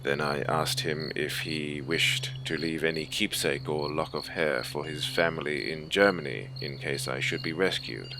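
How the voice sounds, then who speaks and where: A man playing a cold, haughty German naval officer recounting a horror story in a formal, measured, grave manner. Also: a bass voice. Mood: grave, detached. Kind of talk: scripted audiobook horror narration. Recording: clear ambient noise bed behind voice. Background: ambient soundscape.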